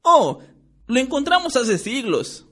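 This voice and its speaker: A man's voice: high-pitched, big pitch swings, moderate pace, slightly rough, voice pushed up, heavily acted. A man reading a story, voicing an emphatic, boastful teenage schoolboy.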